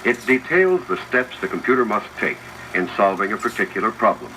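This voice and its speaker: A man's voice: on the low side, very animated, brisk, pushed and bright. A man reading scripted, instructive narration for a 1970s educational film, addressing the viewer directly. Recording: noisy 1970s 16mm film soundtrack, projector whir. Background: mechanical projector noise.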